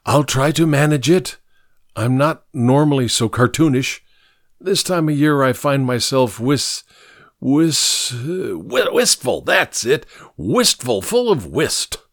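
Give this storybook figure, acted out by a man, the sound of an aged drunk with courtly, cartoonish manners. He swings widely in pitch and takes his time, halting and tripping over florid phrases. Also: lively intonation, no room sound, studio quality. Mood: apologetic, wistful. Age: older. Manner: halting, stumbling over words, florid old-fashioned phrasing. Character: old drunken scrounger, loud, cartoonish, wistful, courtly.

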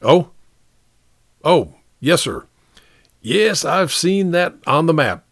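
A proud, bullying army captain from an audiobook war story, acted out by a man. He pitches his voice up and swings it widely as he hands out clipped orders. The sound is close and dry. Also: animated, slow, fairly bright tone, dry recording, full character voice. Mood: eager, deferential. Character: strict, bullying American army captain, short-tempered and proud. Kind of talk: audiobook character dialogue.

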